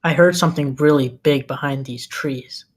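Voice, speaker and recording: Man playing an exasperated, deadpan amateur cameraman. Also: fairly high pitch, moderate pace, lightly acted. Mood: exasperated, patient. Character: exasperated male amateur cameraman, Bigfoot's practical friend. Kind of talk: comedic screenplay table read.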